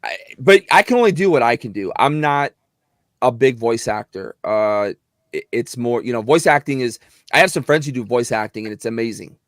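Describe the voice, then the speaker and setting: A man's voice: mid-range voice, moderate pace, no echo, clean studio sound. Chatty middle-aged man, whiny tone. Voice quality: slightly whiny voice. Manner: animated, rambling, many restarts. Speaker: actor and guest. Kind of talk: casual video chat. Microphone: close mic.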